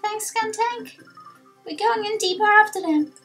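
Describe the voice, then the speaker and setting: A woman's voice: very high voice, unhurried, fairly bright tone, faint music behind, voice pushed up. A woman voicing an eager, easily frightened young fox explorer in a video game, stammering and exclaiming. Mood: determined.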